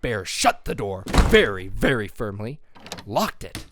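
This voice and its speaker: A man reading a children's storybook aloud with expressive, animated narration. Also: on the low side, unhurried, noisy background.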